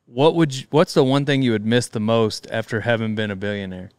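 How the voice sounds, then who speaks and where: A polite young man hosts a podcast, asking quick questions and answering with "yes, sir." His voice is smooth and clear, at a middle pitch, recorded close and dry. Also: darkish tone, dry recording. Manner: polite, drawling fillers, says "yes, sir". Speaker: podcast host. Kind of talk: casual interview chat.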